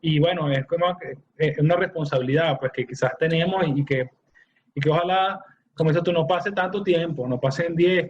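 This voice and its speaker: Male interviewer, slightly high voice, halting conversational delivery, muffled low-fidelity recording. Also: slight background noise. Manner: halting, repeats words as he goes. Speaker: interviewer, host.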